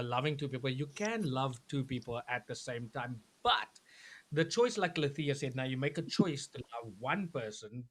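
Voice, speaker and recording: A man hosting a live talk show, who speaks loudly and chattily with many restarts. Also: mid-range voice. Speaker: host. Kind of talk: casual discussion on a live show.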